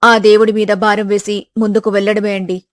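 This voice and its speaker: A woman's voice: mid-range voice, level tone, brisk, dry recording, clean studio sound, lightly acted. A woman voicing a worried, weary village mother-in-law who frets and complains, in a family story. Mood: concerned. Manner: fretful, complaining.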